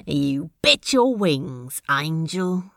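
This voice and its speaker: A wry, easygoing man acted by a woman in an audio drama, tender under the teasing. The drawl is extremely unhurried and crackles with fry, swinging lazily in pitch, and it is recorded close and dry. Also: sing-song, fairly bright tone, dry recording, full character voice. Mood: smug affection. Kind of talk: amateur audio fiction acting.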